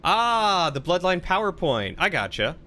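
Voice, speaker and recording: A man's voice: high voice, very animated, unhurried, bright, forceful tone. A man live streaming a game, chatting casually and playfully in an informal commentary style. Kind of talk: casual gameplay commentary and chat.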